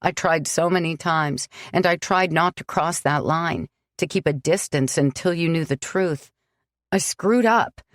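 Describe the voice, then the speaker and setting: An earnest young man pleads for forgiveness in a low, steady voice, read by a woman narrator. He sounds sincere and remorseful. The recording is dry and close. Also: a little slow, dry recording.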